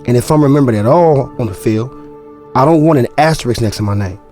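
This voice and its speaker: A man's voice: mid-range voice, fast talker, dark tone, faint music behind, close to an everyday voice. A man playing a wry young football player narrating. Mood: reflective. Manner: reflective, wry inner monologue. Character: young male football running back, narrating his story. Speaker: lead character and narrator. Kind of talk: audio drama narration and dialogue.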